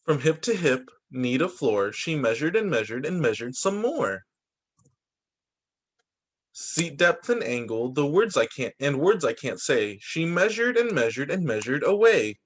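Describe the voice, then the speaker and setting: A man's voice: on the high side, very animated, measured pace. A man voicing an upbeat, hopeful young boy in a children's storybook, speaking in sing-song rhyme. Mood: excited, hopeful.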